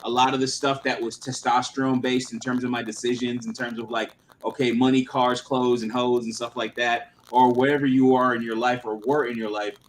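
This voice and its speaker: A man's voice: mid-range voice, flat delivery, a little fast, few pauses, a little hiss or hum, rough recording. Rambling man in his thirties, casual. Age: 30s. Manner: rambling, filler-heavy, slangy. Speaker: channel host. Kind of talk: casual livestream chat.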